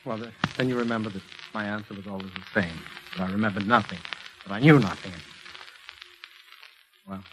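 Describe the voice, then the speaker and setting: Guy playing anguished cursed man, intense halting delivery, 1940s radio drama, a bit of old background noise. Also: on the low side, natural voice. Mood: haunted, brooding. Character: haunted, anguished man cursed to speak the truth. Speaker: patient.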